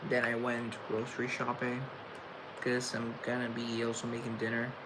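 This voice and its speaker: Young male streamer, mid voice, halting, stuttering, chatty; noisy webcam sound. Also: mid-range voice, completely flat delivery. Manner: halting, stuttering, restarts words; reflective and chatty. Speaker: livestream host. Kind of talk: casual livestream chat, reading chat aloud. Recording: noisy room tone.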